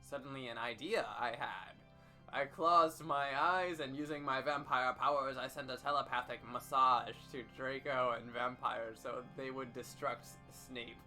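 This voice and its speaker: A man with a bright, forceful voice reads fan fiction aloud as comedy. His delivery is theatrical and heavily emphatic, over music in the background. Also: medium pitch, steady tone, unhurried. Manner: theatrical, emphatic, with sudden shouts. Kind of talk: over-dramatic comedic reading.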